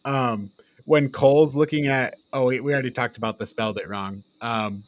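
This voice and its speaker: A man's voice: mid-range voice, fairly even intonation, normal speed, no echo. A man co-hosting a casual film review chat, informal and given to swearing and laughing. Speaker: podcast co-host.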